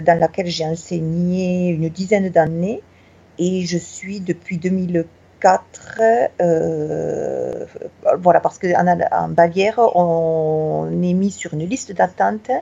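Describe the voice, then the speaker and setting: Slow gravelly woman with southwest French accent. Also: on the low side, dark tone, lo-fi sound. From Tarn, southwest France. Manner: slow and hesitant, many filler pauses. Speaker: teacher at a Bavarian high school. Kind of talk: interview answer.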